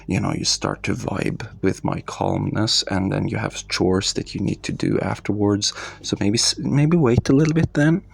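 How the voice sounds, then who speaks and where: Soft-spoken non-native man with gravelly low voice. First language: not English. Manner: slow, hesitant, soft-spoken with many fillers and restarts. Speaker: meditation vlogger. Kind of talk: calm narrated live meditation. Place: quiet room at night.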